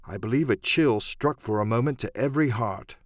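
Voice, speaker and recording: A man's voice: mid-range voice, fairly quick, no room sound, clean studio sound. A man reading literary adventure narration as an audiobook narrator, in a dramatic storytelling style.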